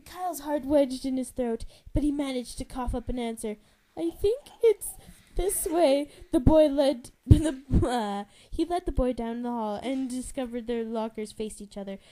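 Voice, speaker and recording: A young woman narrates a novel aloud in an informal, amateur way. Her voice is high, and she is giggly, often stumbling and correcting herself. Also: moderate pace, few pauses, dark tone. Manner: giggly, stumbles over words and self-corrects. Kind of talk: informal dramatic reading of a novel.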